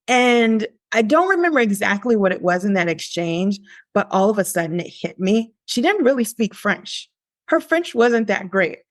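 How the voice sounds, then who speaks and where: A woman guest on a podcast, telling a personal story in a lively, conversational way, often pausing on "like". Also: medium pitch, no echo, studio quality. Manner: animated, lively quoting of her younger self; frequent "like" fillers and restarts.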